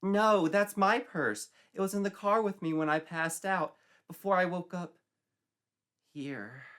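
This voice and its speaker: A distressed young woman acted out by a man reading a story protests in an exclamatory, shrill way. The voice leaps high and has a sharp, forceful edge, full of indignation. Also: measured pace, smooth. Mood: insistent.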